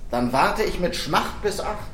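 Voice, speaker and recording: A man's voice: high voice, lively intonation, unhurried, a bit bright. An actor playing a playful, easygoing father in a German children's radio play. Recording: echoey, noisy kitchen with clatter.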